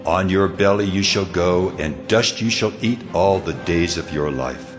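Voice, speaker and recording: An actor playing the Lord God, a stern, commanding male voice making grave, measured pronouncements in an audio drama. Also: low-pitched. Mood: judging. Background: music.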